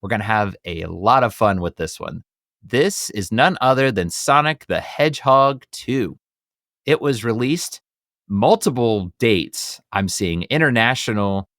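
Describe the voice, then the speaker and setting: American guy doing a casual podcast, upbeat host, kinda deep voice, super dry close mic. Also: lively intonation, measured pace, a bit bright, no echo. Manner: upbeat, enthusiastic. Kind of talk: casual chatty podcast hosting.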